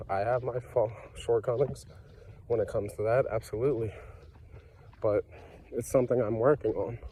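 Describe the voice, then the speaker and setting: A man's voice: medium pitch, mellow. A stuffy-nosed man with a cold, talking earnestly and casually about his own life, with lots of fillers and repeated phrases. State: congested with a cold, stuffy nose. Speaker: vlogger sharing his recovery story. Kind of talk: casual personal monologue.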